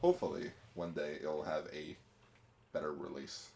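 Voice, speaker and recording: A man's voice: a bass voice, steady tone, moderate pace, vocal fry, warm tone, a little hiss or hum. A man co-hosting a podcast, chatting casually and rambling about old films. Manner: chatty, rambling, uses fillers. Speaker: podcast co-host.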